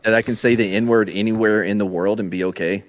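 A man with a lowish voice chats casually on a stream. He jokes in a deadpan way and teases the others. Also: brisk. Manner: deadpan mock apology, teasing. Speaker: streamer co-host. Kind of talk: joking group chat.

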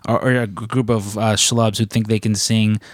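A man's voice: on the low side, little pitch movement, moderate pace, dry recording, studio quality. Joking, rambling podcast host man. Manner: joking, profane, rambling storyteller. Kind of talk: casual podcast chat. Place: aboard a cruise ship.

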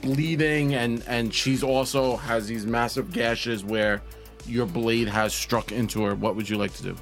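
A man narrating a tabletop roleplaying game as game master, in a measured, descriptive way with pauses. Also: medium pitch, steady tone, faint music behind.

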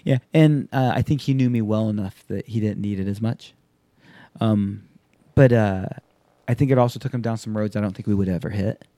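Man, 30s, podcast host; casual, profane, animated storyteller; mellow tone, dry close recording. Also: on the low side, moderate pace, no room sound. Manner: loose, profane, animated storyteller acting out quotes. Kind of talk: casual chat.

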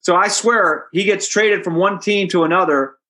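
A hockey play-by-play announcer answering interview questions in a relaxed, anecdotal way, chatty and amused. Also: male voice, sits high, moderate pace, poor audio. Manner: chatty storyteller, amused, with restarts and asides.

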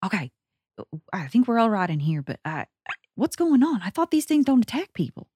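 Animated woman playing a tabletop game. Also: mid-range voice, normal speed, husky, no echo. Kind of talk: casual improvised table chat.

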